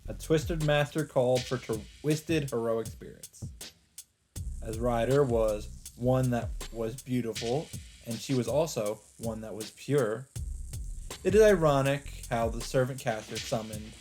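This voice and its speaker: A male let's play host with a medium-pitched voice reads a visual novel's text aloud. Game music plays faintly and steadily behind him. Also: measured pace, a little mellow. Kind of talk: reading visual novel narration aloud, with commentary.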